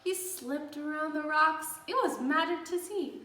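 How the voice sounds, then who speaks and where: A woman's voice: sits high, a little slow, bright, forceful tone. A woman reading a picture book aloud to young children as a teacher, expressively, explaining as she goes and gasping for effect. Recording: echoey room, distant microphone.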